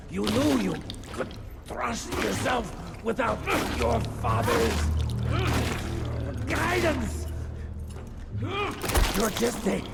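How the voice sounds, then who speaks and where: An actor playing a mocking vampire henchman with a gravelly voice in a game cutscene. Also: very high-pitched, very noisy, heavily acted. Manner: taunting, theatrical, slow. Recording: game cutscene over loud score.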